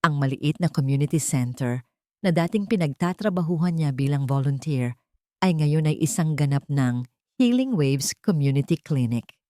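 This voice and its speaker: A woman's voice: deep voice, animated, moderate pace, a little mellow, no room sound, studio quality. A woman narrating a scripted, dramatic love story as a storyteller.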